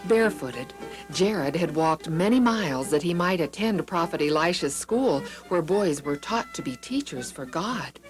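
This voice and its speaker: Male storybook narrator, highly varied intonation, dramatic read for children, soft music bed underneath. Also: unhurried. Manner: animated, dramatic, voices the characters. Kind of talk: expressive scripted storybook narration.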